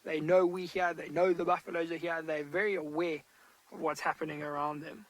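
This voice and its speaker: A man, a safari guide giving loud, animated live commentary from an open vehicle outdoors. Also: a little high, brisk, low-quality recording.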